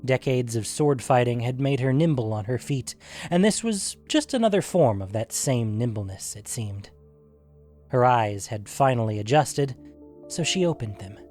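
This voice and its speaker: A male narrator tells a fantasy tale in a measured, literary way. His pitch sits in an ordinary male range. Music runs underneath the narration. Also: a little mellow. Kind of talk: scripted fantasy story narration.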